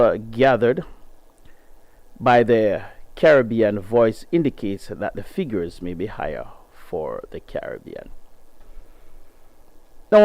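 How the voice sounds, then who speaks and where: A man's voice: darkish tone, no room sound. A middle-aged Jamaican man hosting a gospel radio show, reading an article aloud and then speaking earnestly and emphatically. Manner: earnest, emphatic, rises in pitch to stress points. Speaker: radio host.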